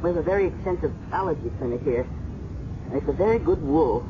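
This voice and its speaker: An actress playing a calm, matter-of-fact doctor who explains in a measured way, in a 1970s radio drama. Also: female voice, low-pitched. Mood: calm, thoughtful. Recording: muffled noisy 1970s radio recording.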